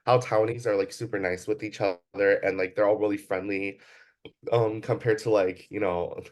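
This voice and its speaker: A man's voice: fairly low pitch, fairly even intonation, normal speed. A young man from the Bay Area answering interview questions casually, hesitant, with many fillers and restarts. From Bay Area, California, USA. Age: young adult. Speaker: student interviewee.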